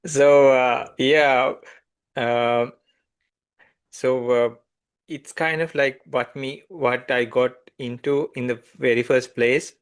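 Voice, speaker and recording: A man whose first language is Sinhala, a meditation teacher answering gently and hesitantly, with many fillers. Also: mid-range voice, fairly even intonation, slow, smooth. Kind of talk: conversational interview answers.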